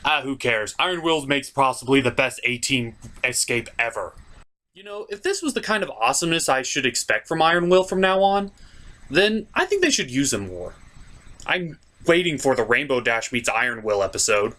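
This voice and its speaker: Male review host, very lively swooping intonation, theatrical and sarcastic, scripted comedic narration. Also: mid-range voice, normal speed. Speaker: cartoon reviewer, show host.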